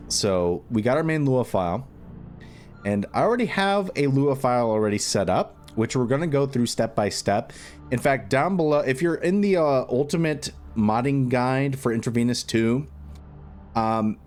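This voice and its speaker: A man presenting an unscripted step-by-step screen tutorial, thinking aloud in a halting way as he explains. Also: lowish voice, a little mellow.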